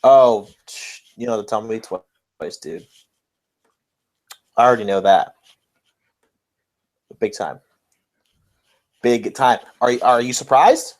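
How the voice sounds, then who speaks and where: A man's voice: mid-range voice, slow pace, long pauses, darkish tone. A man co-hosting a podcast, casually discussing wrestling in informal, slangy language with some swearing. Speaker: podcast co-host.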